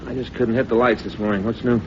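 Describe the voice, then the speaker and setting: Man playing an easygoing, quick-talking detective partner. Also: mid-range voice, little pitch movement, close to an everyday voice. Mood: casual. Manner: casual, brief replies. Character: easygoing male police detective sidekick, mild and agreeable. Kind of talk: 1950s radio drama acting. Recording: dull, noisy vintage radio recording.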